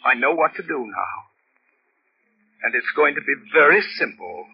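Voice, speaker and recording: An actor playing a desperate, scheming murderer in intense, melodramatic 1940s radio style. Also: male voice, medium pitch, animated, moderate pace, vocal fry. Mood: cold scheming. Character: desperate male murderer, cold schemer turning panicked. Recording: noisy vintage radio recording, muffled.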